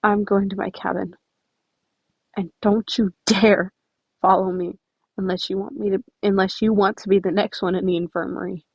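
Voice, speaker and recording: A woman's voice: medium pitch, level tone, normal speed, slightly rough, dry recording, lo-fi sound. A woman voicing a brooding, defensive teenage boy who is bitter and emotional in a story reading. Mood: angry.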